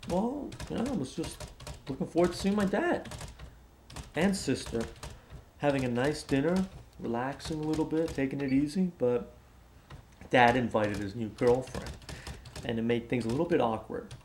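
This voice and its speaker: An actor playing a hesitant, evasive adult son in a naturalistic interrogation drama. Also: male voice, medium pitch, normal speed, darkish tone, lightly acted. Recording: noisy room with typing.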